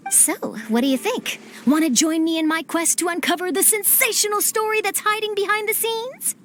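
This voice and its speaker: A peppy young reporter. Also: female voice, very high voice, fairly even intonation, a bit bright, slight background noise. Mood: eager. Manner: chipper, persuasive, quick. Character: young female reporter, eager and nosy. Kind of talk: video game cutscene dub.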